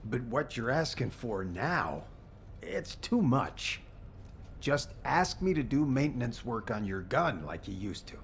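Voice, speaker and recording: Gravelly, unhurried, grumbling mechanic. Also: male voice, mid-range voice, sing-song, slight background noise. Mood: reluctant. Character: gruff, reluctant but caring male mechanic and gunsmith. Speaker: voice actor in a video game. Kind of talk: game cutscene dialogue.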